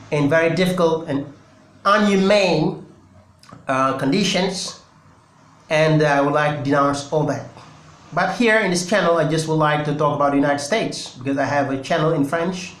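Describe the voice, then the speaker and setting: A man from Senegal with a Senegalese accent, his first language Wolof, giving earnest solo political commentary with deliberate pauses and restarts. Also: a little high, fairly bright tone. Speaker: political commentary channel host. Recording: echoey room.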